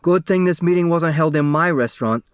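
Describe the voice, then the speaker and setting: A man's voice: on the high side, a little fast, clear, resonant tone, no echo, studio quality, pitched above the performer's own voice, natural voice. A man playing a grumbling, scornful middle-aged male restaurant owner in an audiobook. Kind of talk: audiobook character dialogue.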